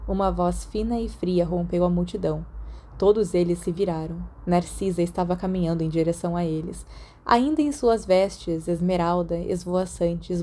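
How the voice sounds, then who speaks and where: Mellow Brazilian woman narrating fiction. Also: lowish voice. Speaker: podfic narrator. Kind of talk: scripted narration, fiction audiobook.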